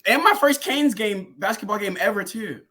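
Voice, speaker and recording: A young man guesting on a sports podcast over a video call, an excitable storyteller who laughs often. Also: high voice, normal speed. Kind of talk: casual sports podcast chat.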